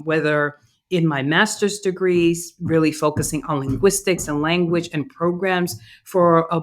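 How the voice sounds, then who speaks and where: A woman in her fifties from Cuba, whose first language is Spanish, giving reflective interview answers with fillers and restarts. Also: deep voice. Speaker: podcast guest, DEI consultant.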